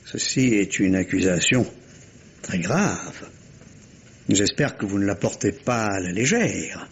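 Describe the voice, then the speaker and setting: An actor playing a polite, sceptical police inspector in a vintage radio mystery, questioning in a hesitant, roundabout way. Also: male voice, fairly low pitch, expressive, slow pace, a little hiss or hum. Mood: politely sceptical. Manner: hesitant, courteous, roundabout questioning with repeated words. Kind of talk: 1950s French radio mystery acting. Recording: dull, lo-fi vintage radio recording.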